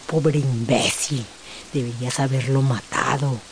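An actress playing a bitter, scornful, ruined woman of the opium den in a radio drama, with a husky, creaky voice. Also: extremely low pitch, fairly even intonation, unhurried, a little hiss or hum.

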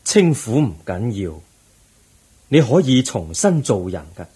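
An actor playing a calm, compassionate Buddha in a Cantonese radio drama, patient and solemn, with a Hong Kong accent. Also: male voice, mid-range voice, unhurried, husky, heavily acted.

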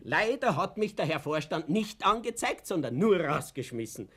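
Excitable old father, wildly swooping. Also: a little high, moderate pace, husky, full character voice. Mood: gleeful, triumphant. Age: older. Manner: excitable, storytelling, swinging from chuckling to hushed. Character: elderly father, gleefully cunning, naive, long-suffering underdog. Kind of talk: German-language radio play acting.

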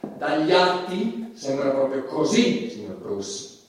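An actor playing a stern, indignant judge who moralizes in a formal, emphatic, theatrical way. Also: male voice, fairly high pitch, sing-song, slow, a little hiss or hum. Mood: stern, accusing. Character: indignant, stern male judge condemning an obscene comedian. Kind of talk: theatrical stage monologue. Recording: echoey hall, distant mic, music behind.

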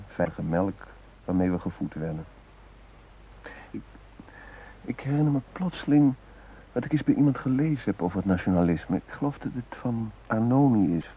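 A man acting an earnest, guilt-ridden humanist in a radio play, musing in deliberate, introspective phrases. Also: fairly low pitch, husky, narrow, tinny audio. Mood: reflective, tender. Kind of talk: radio drama monologue. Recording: hissy old radio play recording.

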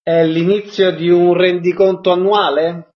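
Higher-voiced male radio interviewer. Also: little pitch movement, a little slow, dull audio.

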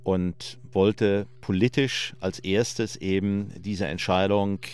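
A man speaking as an expert, explaining in an unscripted, slightly halting documentary interview style. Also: sits low, steady tone, darkish tone, clean tone. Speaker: expert commentator.

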